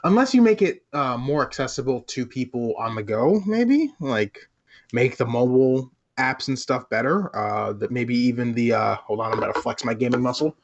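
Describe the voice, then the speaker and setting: A man's voice: medium pitch, lively intonation, normal speed. Tentative man, slightly dull lo-fi sound. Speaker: podcast co-host. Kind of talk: casual gaming podcast chat.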